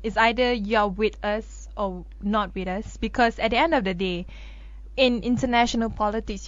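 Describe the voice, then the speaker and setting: A woman's voice: on the high side, dry recording, lo-fi sound. A woman co-hosting a radio current affairs discussion. She gives firm opinions and explains with everyday analogies. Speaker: radio show co-host.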